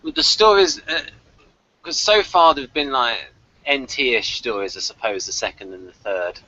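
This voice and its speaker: Man, review host, casual fan talk, bright tense tone, lo-fi call audio. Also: medium pitch, normal speed, no echo.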